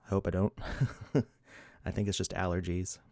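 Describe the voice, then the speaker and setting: A man in his thirties hosts a casual livestream from his desk, chatting loosely and laughing as he reads the chat. His voice sits low, and he is a bit sniffly. The sound is close and dry, with no room around it. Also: little pitch movement, normal speed, darkish tone, no room sound. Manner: rambling, laughs often, restarts, reads chat. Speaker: livestream host.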